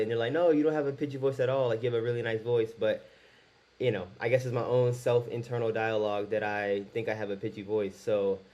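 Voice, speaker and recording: A man's voice: mid-range voice, a little mellow, lo-fi sound. A man in his twenties talking candidly to camera in his first video, rambling a little and restarting his sentences. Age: 20s. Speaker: YouTuber. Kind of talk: casual talk to camera.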